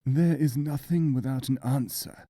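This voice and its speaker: A man's voice: medium pitch, a little slow, mellow, no echo, studio quality, voice pushed up, close to an everyday voice. A man voicing a boastful, confident monk in a storybook, with a pompous manner.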